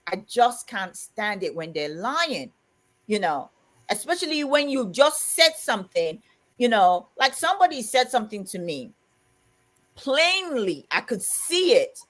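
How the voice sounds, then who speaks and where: A woman co-hosting a live chat stream, telling animated stories, laughing often and reading viewer comments aloud. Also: fairly high pitch, unhurried. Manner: animated, laughs often, acts out quoted dialogue. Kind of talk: casual chat, storytelling.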